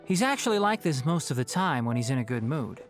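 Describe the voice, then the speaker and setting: A man's voice: medium pitch, big pitch swings, fairly quick, faint music behind, close to an everyday voice. A man playing a thoughtful young scholar in a video game, polite and explanatory. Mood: wry, patient. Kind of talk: animated video game voice acting.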